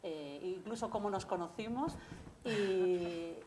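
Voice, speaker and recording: A woman's voice: mid-range voice, slow pace, a little mellow. A woman from Madrid moderating a casual panel conversation at a festival, chatty and enthusiastic, often restarting her sentences. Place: festival stage. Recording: echoey room with faint background noise.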